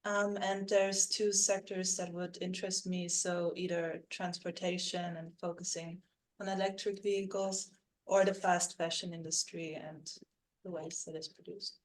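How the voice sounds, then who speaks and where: Low, flat-voiced young woman from Austria. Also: measured pace. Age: young adult. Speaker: university student.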